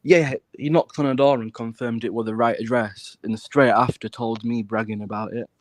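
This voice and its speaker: A British man talking casually and bluntly, with plenty of swearing. His pitch sits in the middle for a man, and the sound is dry with no room on it. He presses his points insistently. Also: fairly even intonation, moderate pace, dry recording. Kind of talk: casual chat.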